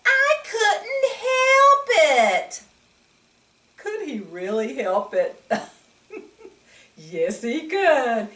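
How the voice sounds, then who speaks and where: A woman reading a picture book aloud to children in an animated way, often putting questions to the listener. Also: medium pitch. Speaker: children's story reader. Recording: echoey room, distant mic.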